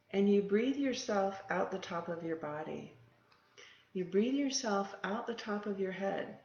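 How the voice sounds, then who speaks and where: A guide leading a meditation in a gentle, unscripted manner with short, paused phrases and a creaky voice. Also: mid-range voice. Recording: roomy, echoing, slightly dull sound.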